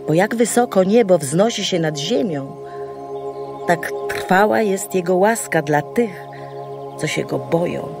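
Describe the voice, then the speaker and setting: An actor playing a reverent psalmist praising God in an audio drama, measured and devotional. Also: male voice, high-pitched, lively intonation, creaky, music in the background, heavily acted. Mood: reverent praise.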